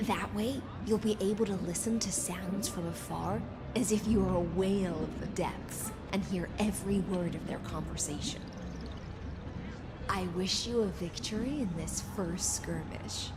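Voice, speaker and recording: A woman playing a calm, elegant and cunning siren courtier in a video game, composed and formal, with music behind. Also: mid-range voice, a little slow, natural voice. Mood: calm, conspiratorial. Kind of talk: scripted video game acting. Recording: loud music under the voice.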